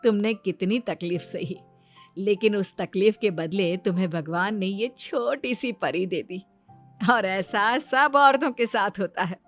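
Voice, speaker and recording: A woman's voice: medium pitch, measured pace, close to an everyday voice. A woman voicing a caring, fussing older mother-in-law in a moral story, with a concerned, motherly manner. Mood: reassuring. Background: background music.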